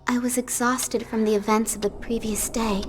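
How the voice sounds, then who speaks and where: A woman playing an earnest, shaken young rookie police medic in a video game, speaking softly and somberly. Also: on the high side, flat delivery, moderate pace, slight background noise, close to an everyday voice. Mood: somber, weary. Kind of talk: video game cutscene acting and narration.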